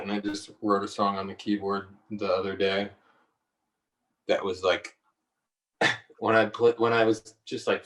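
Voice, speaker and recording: A low-voiced man chats hesitantly about songwriting, laughing easily between his thoughts. The sound comes over a video call. It is roomy and a little distant from the mic. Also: a bit bright. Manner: hesitant, laid-back, laughs often. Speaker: singer-songwriter guest. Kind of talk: relaxed conversational interview.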